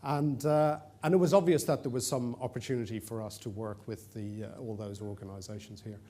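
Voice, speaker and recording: A man's voice: fairly low pitch, steady tone, brisk, warm tone. A middle-aged man from Ireland with an Irish accent, giving a chatty, self-deprecating talk to an audience in a conference room, with fillers and restarts. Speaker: conference presenter.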